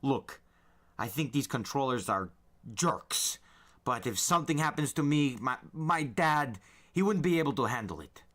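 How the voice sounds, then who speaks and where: Man playing a volatile, forceful teenage boy. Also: medium pitch, expressive, normal speed, voice pushed up, close to an everyday voice. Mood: defensive. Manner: agitated, emphatic, blunt. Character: panicky, volatile teenage boy, loud and angry. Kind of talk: audiobook character voice.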